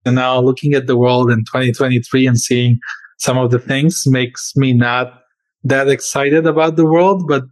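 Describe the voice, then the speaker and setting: A man's voice: mid-range voice, flat delivery, moderate pace. A man who grew up in Serbia answers interview questions as a company founder, speaking earnestly with frequent fillers and shifts in pace.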